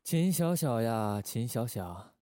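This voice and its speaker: An actor playing a suave, calculating male intelligence station chief in a Republican-era spy radio drama, speaking in a smooth, measured, teasing manner. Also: medium pitch, lively intonation, warm tone, dry recording. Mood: pondering.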